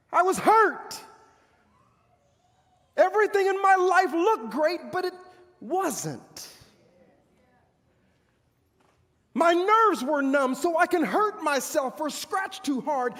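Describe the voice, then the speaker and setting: A man performing a theatrical stage monologue as a proud, bitter army commander, pompous and declamatory, with dramatic pauses. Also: very high voice, a bit bright, heavily acted. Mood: anguished. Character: proud, boastful male army commander of ancient Israel's era, bitter. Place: large church hall stage. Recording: echoey hall with long reverb.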